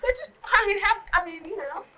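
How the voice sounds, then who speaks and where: A woman's voice: very high-pitched, animated, brisk. A young woman student chatting casually in a group, hesitant and giggly. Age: young adult. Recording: distant mic amid loud group chatter.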